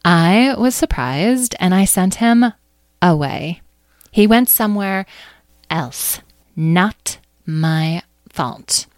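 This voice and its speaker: A woman voicing a dramatic, testy, self-important magical creature in a children's story, with drawn-out, haughty phrasing. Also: low-pitched, lively intonation, a little mellow, no room sound, studio quality, heavily acted. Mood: testy, defensive. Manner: drawn-out, theatrical, haughty delivery.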